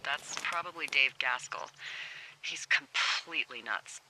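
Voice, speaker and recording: An actress playing a wry, bossy, foul-mouthed fire lookout supervisor in a video game, teasing and casual over a handheld radio. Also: female voice, very deep voice, a little slow, background noise. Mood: wry, matter-of-fact. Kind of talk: naturalistic video game dialogue.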